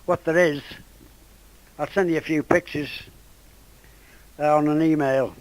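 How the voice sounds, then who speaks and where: A man's voice: fairly high pitch, fairly even intonation, rough recording. An elderly man, his voice going from a cold, speaking hesitantly in a casual amateur radio contact. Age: 80s or older. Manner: hesitant, halting. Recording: ham radio contact over internet app.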